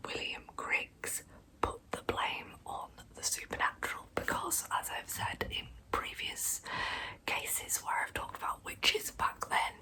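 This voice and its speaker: A woman tells true crime in a soft, breathy ASMR whisper, close to the microphone. Her delivery is slow and measured, with a bright, sibilant edge. Wry, casual asides break up the scripted narration. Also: low-quality recording. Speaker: ASMR storyteller and channel host.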